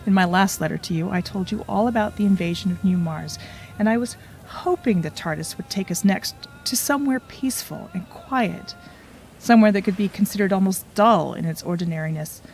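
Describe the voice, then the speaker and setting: An actress plays a young woman time traveler in an audio drama, with noticeable fry in her voice. She is wry and unsure of herself, speaking in a lyrical, confiding way over a faintly noisy background. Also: mid-range voice, darkish tone. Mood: wry, wistful. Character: wry, self-doubting young American woman time traveler, an affectionate letter-writer. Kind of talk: audio drama, reflective letter narration and dialogue.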